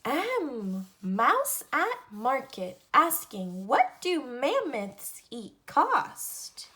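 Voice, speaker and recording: Slow, lively high-pitched woman reading to children. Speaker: read-aloud storyteller for children. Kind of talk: picture book read-aloud, teaching the alphabet.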